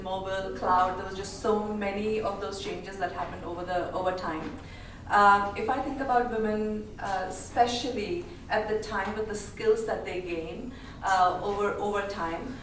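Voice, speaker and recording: A woman moderating a panel discussion, speaking with many fillers and restarts. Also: medium pitch. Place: large echoey lounge. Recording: echoey room from far off, noisy.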